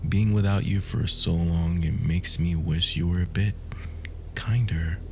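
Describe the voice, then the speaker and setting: A man playing an obsessive, wounded and cruel immortal lover in an ASMR roleplay, breathy and half-whispered, with menacing endearments. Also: low-pitched, steady tone, unhurried, a little mellow, slight background noise. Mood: wounded, taunting. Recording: music bed behind the voice. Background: music.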